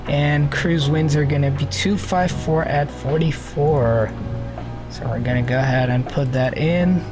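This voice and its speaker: Man, flight sim streamer, casual live-stream talk, thinks out loud, greets viewers warmly, clear background music. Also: fairly high pitch. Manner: chatty, thinks aloud while setting up the cockpit. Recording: music playing under the voice.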